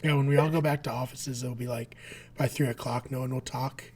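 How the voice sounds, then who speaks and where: A man co-hosting a podcast, his voice tired and nearly gone, giving laid-back short replies and quiet asides. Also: mid-range voice, steady tone, fairly quick, a crowd in the background. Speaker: podcast co-host. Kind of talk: casual chat.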